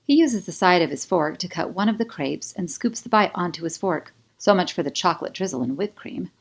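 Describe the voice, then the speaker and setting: Female audiobook narrator, slightly low register, expressive first-person romance read. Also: fairly quick, gravelly. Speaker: author reading own audiobook.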